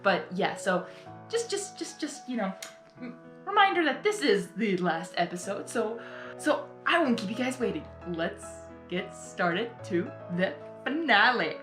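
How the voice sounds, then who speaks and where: A woman hosting a casual reaction video, excitable, apologetic and prone to rambling and repeating herself. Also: mid-range voice, unhurried. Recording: roomy echo, music behind.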